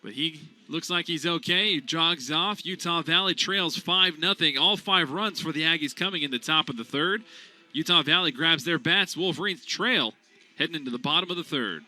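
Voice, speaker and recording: A man calling a college baseball game as radio play-by-play announcer, steady between pitches and rising loud on big plays. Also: normal speed.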